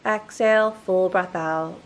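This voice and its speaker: A woman's voice: lowish voice, takes it slowly. A woman leading a guided meditation in a soothing, instructional manner.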